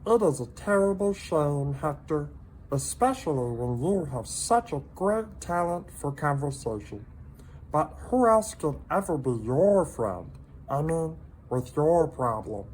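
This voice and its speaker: Someone voicing a high-pitched, gloomy little pet salamander. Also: very animated, unhurried, darkish tone, a little hiss or hum, poor audio. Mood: earnest, sympathetic. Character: small loyal male pet salamander, world-weary and gloomy. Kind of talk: children's storybook character voice.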